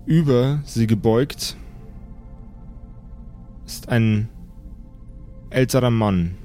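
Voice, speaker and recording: Man, game master, improvised narration, medium pitch, pausing delivery, background music. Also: warm tone. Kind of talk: improvised narration of a tabletop horror adventure.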